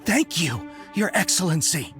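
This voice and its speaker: A man playing a contrite, deferential soldier in an anime game, addressing his superior formally in a husky voice. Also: on the high side, very animated, a little slow, over music. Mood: grateful.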